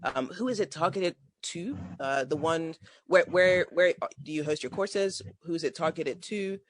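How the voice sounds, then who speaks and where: A man's voice: fairly high pitch, measured pace, no room sound. A man hosting a podcast interview, asking his guest questions in an encouraging, upbeat way. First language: not Swahili.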